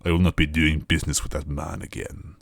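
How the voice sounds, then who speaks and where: A man's voice: a bass voice, flat delivery, vocal fry, no echo, studio quality, pitched below the performer's own voice. A man voicing an enormous metal smith god in a story reading, stony, imperious and menacing. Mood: stony, disdainful. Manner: stony, slow-burning, imperious.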